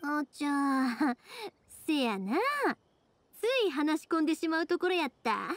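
An actress playing a cheerful, talkative young fireworks maker in an anime game, speaking with a Kansai accent. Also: female voice, high-pitched, a little slow, slight background noise. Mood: chatty, cheerful. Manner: talkative, friendly, animated. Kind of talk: anime video game voice acting.

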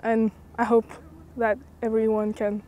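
A woman's voice: a little high, monotone, measured pace, warm tone, highs cut off. A young woman from France, a student speaking English as a second language, answering hesitantly with many fillers outdoors. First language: French. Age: young adult. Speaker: student interviewee. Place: outdoor park.

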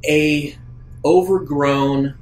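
Halting man running a tabletop game. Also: on the high side, fairly even intonation, soft background music, low-quality recording. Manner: halting, deliberate description. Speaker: game master. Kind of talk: improvised narration of a tabletop sci-fi roleplaying game.

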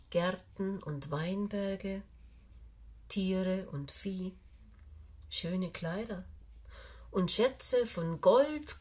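An older woman reading a fairy tale aloud for children, with measured, expressive storytelling and deliberate pauses. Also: medium pitch, slightly rough. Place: small indoor room, seated on a sofa.

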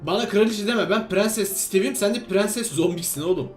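Gaming host, male, notably high voice. Exclamatory, bantering co-op commentary over light background music. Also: normal speed. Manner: bantering, exclamatory, repeats instructions. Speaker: gaming video host playing a character named Steve. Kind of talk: excited game commentary with a co-player.

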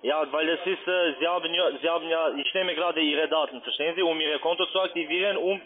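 Over a thin, band-limited phone connection comes a high-voiced man with a foreign accent. He works as a call centre agent, pitching off the cuff in non-native speech. He is insistent and halting, often repeating his words. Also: steady tone, phone-quality sound. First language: not German. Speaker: call centre agent posing as customer adviser. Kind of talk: unscripted phone sales pitch.

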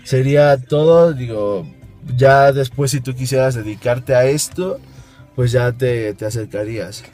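Young Mexican man talking haltingly in a car. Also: medium pitch, a little mellow, faint music behind. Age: young adult. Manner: halting, hesitant explaining. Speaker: prank caller, video host. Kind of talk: improvised prank phone call and casual chat. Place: inside a small car. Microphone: camera at arm's length.

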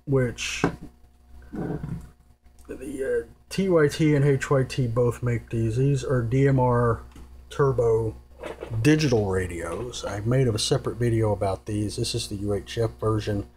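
A man's voice: mid-range voice, a little slow, darkish tone. A man giving a casual, unscripted hands-on explanation in a rambling, informal and matter-of-fact manner. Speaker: presenter giving radio gear advice. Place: indoors at a table. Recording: noisy home recording with hum. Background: clear noise and hum.

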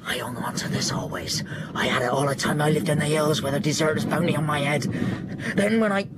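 An actor playing a tormented, raving deserter soldier in a radio drama, with a manner that veers between whispers and cries. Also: male voice, on the high side, a little fast, heavy background noise, close to an everyday voice. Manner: feverish, swinging from whispers to cries.

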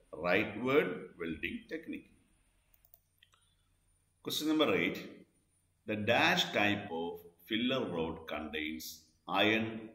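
Slow, low-voiced male instructor in echoey room. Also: pushed and bright. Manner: deliberate, repeats each question and answer. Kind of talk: scripted question-and-answer lesson narration. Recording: echoey, roomy voice-over.

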